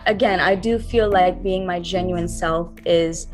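A woman's voice: lowish voice, steady tone, a little slow, soft background music. A young woman, an R&B singer-songwriter, answering interview questions in a thoughtful, earnest way, with many fillers and restarts.

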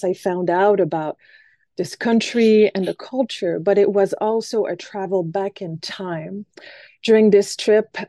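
Mellow woman, dry sound. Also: medium pitch, no echo. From Quebec City, Canada. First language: French. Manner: thoughtful, frequent ums, light laughs. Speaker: guest, stage performer. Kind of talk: conversational interview answers.

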